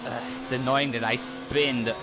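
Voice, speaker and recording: A man's voice: mid-range voice, fairly even intonation. A man whose first language is French, casually commenting while playing a racing game, rambling and breaking into sudden outbursts. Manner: rambling, easily distracted, quick outbursts. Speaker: gaming YouTuber. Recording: gameplay audio with clear engine noise.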